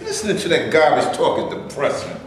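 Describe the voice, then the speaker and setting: A man reading the part of a mild-mannered peacemaker in a staged play reading, speaking in a calming, placating way. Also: fairly high pitch, fairly quick. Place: theater stage before a live audience. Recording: distant voice on echoey stage, faint noise.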